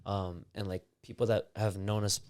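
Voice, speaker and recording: Smooth low-voiced young man, casual podcast chat. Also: moderate pace, no room sound. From Canada. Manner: relaxed, loose, heavy on fillers and swearing. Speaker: podcast co-host.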